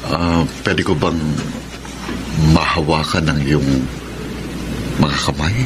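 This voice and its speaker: A man's voice: rumbling low, fairly even intonation, takes it slowly, noisy background. A man acting a hopeful, lovestruck young suitor in a Filipino radio drama, sentimental and pleading, with florid earnest delivery. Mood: earnest, tender. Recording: radio drama with music underneath.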